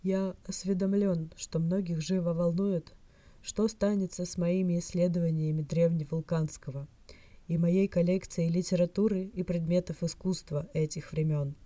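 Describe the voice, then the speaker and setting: A woman voicing a dignified, sly old male scholar's hologram in a fan fiction reading, formal, measured and soft. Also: fairly low pitch, steady tone, no room sound, natural voice. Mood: solemn, formal.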